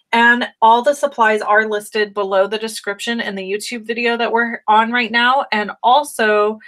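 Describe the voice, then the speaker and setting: Slightly raspy woman hosting a craft stream. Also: medium pitch, fairly bright tone, rough recording. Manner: chatty, friendly, greets viewers by name. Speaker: stamping demonstrator hosting a live stream. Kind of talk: casual live craft tutorial.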